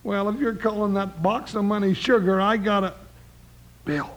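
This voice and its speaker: Comic cowboy sidekick, very high voice, excitable, folksy, blustering, loyal, old-time radio western. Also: male voice, moderate pace. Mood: worried, grumbling. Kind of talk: 1950s radio western acting.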